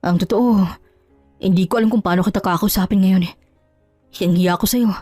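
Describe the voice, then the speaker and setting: A woman voicing an ashamed, remorseful husband in an audio drama, pleading and apologetic with halting words. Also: mid-range voice, mellow, dry recording, faint music behind, close to an everyday voice. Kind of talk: Tagalog audio drama acting.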